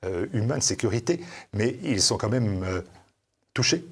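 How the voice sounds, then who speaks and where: A man's voice: on the low side, expressive, normal speed, husky. A man hosting an interview, asking long leading questions with hesitant fillers. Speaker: interviewer host.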